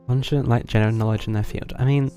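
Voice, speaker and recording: Male streamer, gravelly fry, medium pitch, sarcastic casual commentary, game music behind. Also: steady tone, fast, dark tone. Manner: dry, sarcastic.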